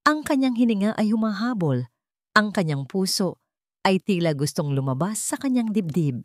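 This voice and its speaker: A woman's voice: lowish voice, a little mellow, no echo, studio quality. A woman narrating a scripted story in a theatrical, suspenseful manner.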